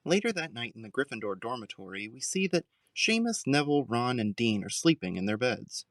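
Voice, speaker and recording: A male narrator reads scripted audio drama scenes at a medium pitch. The sound is dry, with no room around the voice. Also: very animated, a little fast, fairly bright tone, dry recording.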